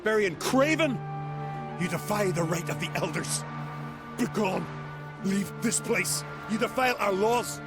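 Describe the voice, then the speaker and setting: An actor playing a severe village elder and guardian of custom in a fantasy video game, solemn and commanding. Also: male voice, sits high, lively intonation, a little slow, over music. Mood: stern, outraged.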